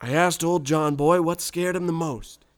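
A man playing a folksy, naively smug country boy who tells his story deadpan, with a rural American accent, in a radio parody. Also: fairly high pitch, unhurried, clean tone, close to an everyday voice. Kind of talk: scripted first-person storytelling, radio parody.

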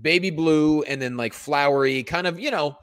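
A man's voice: a little high, normal speed, fairly bright tone. A man telling a comic story on a casual podcast, slipping into voices for the people he quotes. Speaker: podcast host, comedian.

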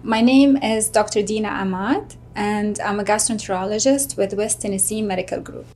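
A woman from Jordan, a physician guest, answering interview questions in a measured way with frequent ums and uhs. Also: medium pitch, darkish tone. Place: studio.

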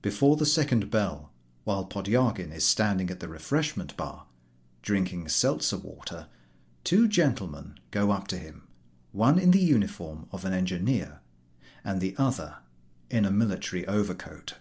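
A man's voice: deep voice, big pitch swings, normal speed, darkish tone. A man reading a scripted story as an audiobook narrator. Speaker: audiobook reader.

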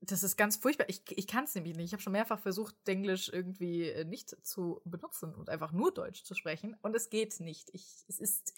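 A woman's voice: medium pitch, brisk, hardly any pauses, slightly rough. A young woman hosting a casual interview, talking animatedly and mixing English words and the odd swear into her speech. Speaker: host. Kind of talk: casual chat.

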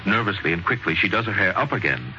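A man narrating a story in dramatic 1940s radio style, with a theatrical, wry, measured delivery. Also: low-pitched, very animated, bright, forceful tone. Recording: muffled, noisy 1940s radio recording.

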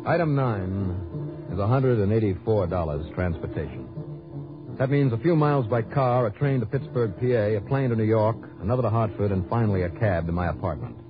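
An actor playing a tough, sardonic American private investigator in a radio drama, with a punchy, wry delivery. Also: male voice, on the low side, lively intonation, a little fast, close to an everyday voice. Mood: narrating, wry. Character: tough, sardonic male private investigator narrating his case. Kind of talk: 1950s-60s radio detective drama acting, first-person narration. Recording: dull vintage radio recording with music.

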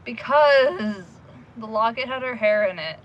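A woman's voice: a little high, animated, a little slow, muffled recording, a little hiss or hum. A woman acting as a stubborn, sardonic young amateur investigator in an audio drama, blunt and dry in her delivery. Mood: insistent, wry.

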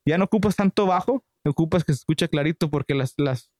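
Man, casual host, slangy, easygoing, fairly high voice, dry close sound. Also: fairly quick, darkish tone, no room sound. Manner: slangy, laid-back, laughs often. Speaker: podcast host. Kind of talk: casual chat.

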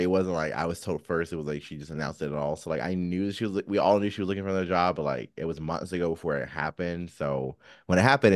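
A man's voice: sits low, no echo, clean studio sound. A man co-hosting a podcast in casual, candid personal chat, with frank, sassy slang. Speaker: podcast co-host; counselor.

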